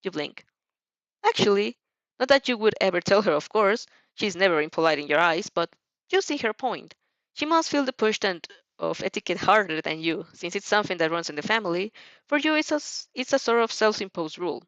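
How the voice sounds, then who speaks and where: A woman reading fan fiction aloud expressively, in an animated amateur way, stumbling over and repeating words. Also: mid-range voice, fairly quick, dry recording, lo-fi sound.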